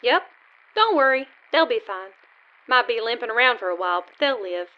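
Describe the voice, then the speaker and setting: A woman's voice: on the high side, animated, fairly quick, close to an everyday voice. An actress playing an easygoing, dry-witted western diner woman in a comic radio sketch, folksy and matter-of-fact. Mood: unfazed, wry. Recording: slightly muffled recording.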